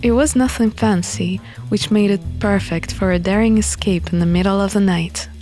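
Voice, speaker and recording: A woman narrating a visual novel in an expressive, measured storybook style. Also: medium pitch, rough recording. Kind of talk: expressive storybook-like reading of game text. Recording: music behind the voice. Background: music.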